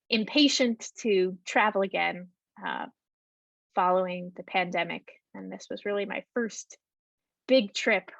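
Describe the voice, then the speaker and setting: A woman's voice: medium pitch, vocal fry, dry recording. A woman presenting a travel talk and answering audience questions over a video call, hesitant with many ums and restarts. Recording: dull lo-fi video call audio.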